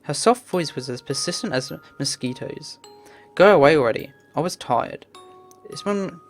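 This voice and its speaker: A woman's voice: deep voice, animated, moderate pace. A woman narrating a visual novel as a read-aloud story, voicing the dialogue herself. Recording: music behind the voice. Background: music.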